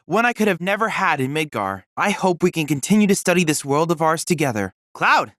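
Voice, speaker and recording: A man's voice: on the high side, big pitch swings, fairly quick, a bit bright, dry recording, clean studio sound. A young man playing an earnest, scholarly android boy research assistant in a video game, speaking with formal, precise, courteous diction. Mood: grateful, earnest. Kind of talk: scripted video game dialogue.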